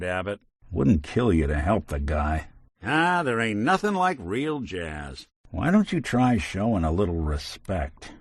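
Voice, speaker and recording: A hard-boiled American robot detective for a video game, in a lowish voice that moves up and down expressively. He is world-weary but principled, with wry old-time private eye phrasing. The sound is close and dry. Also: no room sound. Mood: calm appeal. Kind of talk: video game character acting.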